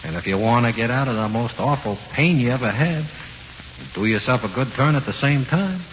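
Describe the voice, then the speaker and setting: A man's voice: mid-range voice, lively intonation, a little fast, natural voice. An actor playing a dead man's ghost, a cold, sly American tough guy, slangy and coaxing, in a 1940s radio horror play. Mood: goading. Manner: slangy, matter-of-fact, coaxing. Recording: muffled, hissy 1940s radio recording.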